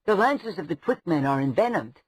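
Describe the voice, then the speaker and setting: A man's voice: on the high side, big pitch swings, brisk, voice pushed up, rough recording. A man voicing a scornful, wry woman. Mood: wryly menacing. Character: sharp-tongued, scornful adventuring woman.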